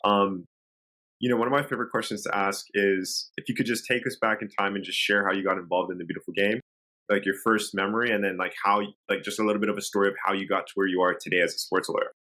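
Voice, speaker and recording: A man's voice: on the low side, fairly even intonation, fast talker, bright, forceful tone, low-quality recording. A man hosting an interview, asking questions in an upbeat, encouraging way. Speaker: interview host.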